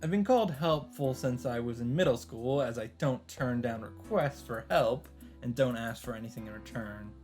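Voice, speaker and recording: A man's voice: medium pitch, a little mellow. Man reading a game aloud over music. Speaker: let's-play host. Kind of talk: reading visual novel narration aloud with casual commentary. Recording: background music.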